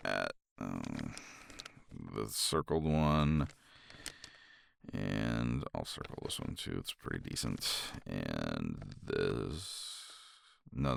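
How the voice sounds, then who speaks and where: Casting: deep, gravelly-voiced man, tender partner roleplay, lively intonation. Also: no echo, clean studio sound. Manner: tender, reassuring partner talk to a listener. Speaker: ASMR roleplay performer. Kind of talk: romantic ASMR roleplay, intimate soft talk.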